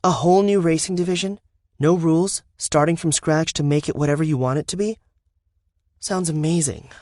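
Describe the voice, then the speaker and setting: A cocky young woman racer with a playful, needling edge, as a male narrator puts her on. Her voice is lifted well above his own deep register, in a close, dry recording. She teases with easy confidence. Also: a little fast, a little mellow, no room sound, lightly acted. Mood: teasing. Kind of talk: audiobook narration.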